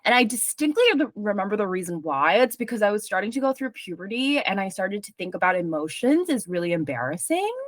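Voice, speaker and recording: A woman in her thirties from Singapore, a music journalist talking as a guest in a casual interview, animated and chatty with lots of "like" fillers. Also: mid-range voice, fast, hardly any pauses, dry recording, studio quality.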